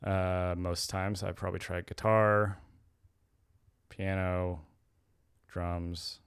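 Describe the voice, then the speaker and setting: A man's voice: low-pitched, level tone, slow pace, smooth, no echo, clean studio sound. A young adult man co-hosting a casual podcast, rambling and correcting himself as he talks. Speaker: podcast co-host.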